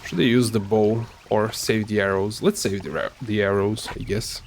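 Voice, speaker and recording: A man whose first language is Serbian, giving casual, halting commentary while playing a game, with many fillers and restarts. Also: lowish voice, steady tone, slight background noise. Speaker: gamer.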